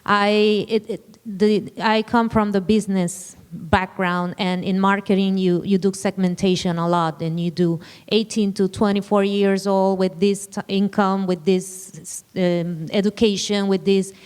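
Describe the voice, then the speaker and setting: A woman's voice: mid-range voice, level tone, a little slow, hardly any pauses, a little mellow, smooth, big-room sound. A woman speaking earnestly on a panel, stressing her points and restarting phrases. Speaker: panelist author. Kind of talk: panel discussion. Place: lecture hall.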